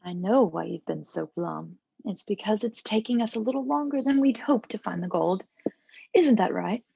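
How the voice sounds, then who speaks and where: A woman's voice: medium pitch, moderate pace, gravelly, warm tone, husky, no echo, highs cut off. A woman playing a controlling, scheming mother in a table read, talking to her grown son in a syrupy, coaxing way. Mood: cloyingly tender.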